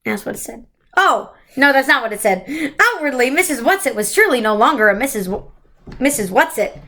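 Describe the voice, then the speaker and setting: Higher-voiced woman reading a children's story aloud. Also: very animated, brisk, bright, forceful tone. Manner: stumbles over words and corrects herself. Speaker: story reader. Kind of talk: children's book read-aloud.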